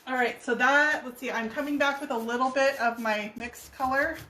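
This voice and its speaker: A hoarse woman talks viewers through a painting demo in a casual, chatty way, her voice sitting a bit high. She sounds set back from the mic in a roomy space. A faint hum of room noise sits underneath. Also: steady tone, moderate pace, sharp tone, distant mic. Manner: chatty, laughs easily. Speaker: furniture painting host. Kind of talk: live painting tutorial.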